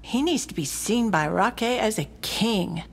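An actress playing an ambitious, scheming crime crew advisor in a video game, husky, breathy and pointed. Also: female voice, mid-range voice, lively intonation, unhurried, exaggerated voice. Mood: scornful. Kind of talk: video game cutscene acting.

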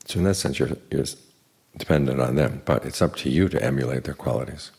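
An older man, a Buddhist monk, giving an evening dharma talk in an unhurried, plain teaching manner with dry humor. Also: darkish tone. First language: English. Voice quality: very deep gravelly voice.

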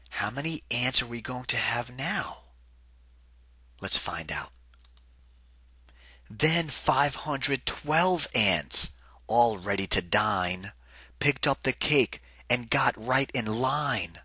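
A man giving an interactive children's read-aloud in a deliberate, singsong rhythm, like a teacher with a picture book. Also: medium pitch, animated, pushed and bright, husky, no room sound.

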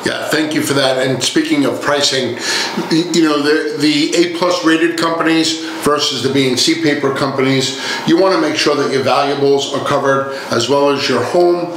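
A man's voice: fairly high pitch, moderate pace, a bit bright. A middle-aged man explains services like an insurance agent, polite and businesslike in conversation. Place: small meeting room, indoors. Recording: very echoey room, faint background noise.

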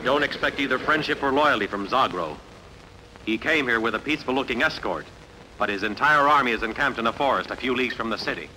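Man acting a forceful, defiant ancient hero. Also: mid-range voice, animated, fast talker. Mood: earnest, accusing. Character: bold, defiant muscular hero of ancient world. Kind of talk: dubbed sword-and-sandal film acting. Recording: noisy fan-dubbed film soundtrack.

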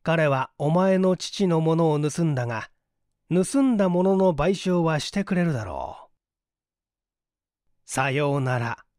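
A man reading aloud a father's solemn, admonishing testament to his son, deliberately, in an audiobook mystery. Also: on the high side, lively intonation, darkish tone, no room sound, clean studio sound. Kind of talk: audiobook letter read aloud.

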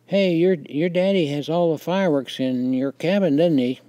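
A man's voice: on the high side, moderate pace, dark tone, dry recording, voice pushed up, close to an everyday voice. A man voicing a pushy older boy in a story, insistently coaxing a younger child. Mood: pushy, eager.

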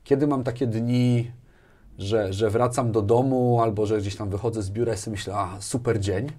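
A man's voice: fairly low pitch, normal speed. A middle-aged man talking casually as an interview guest, often repeating words as he thinks, on a lapel mic in a small studio. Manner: animated hand gestures, repeats words as he thinks. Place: small furnished indoor studio.

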